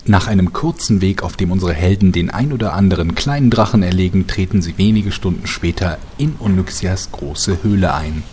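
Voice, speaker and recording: A man's voice: deep voice, brisk, few pauses, background noise, close to an everyday voice. A man acting as the composed storyteller, reading scripted narration for a parody audio drama. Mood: composed, matter-of-fact.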